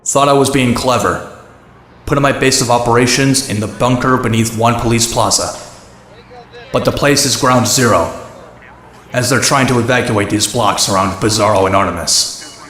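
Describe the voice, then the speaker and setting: A man plays a young outlaw vigilante in a motion comic, defiant and wry. His mid-pitched voice is sardonic and self-deprecating, ringing in heavy echo. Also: normal speed, a bit bright, lightly acted. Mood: brooding, wry. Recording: echoey, long reverb.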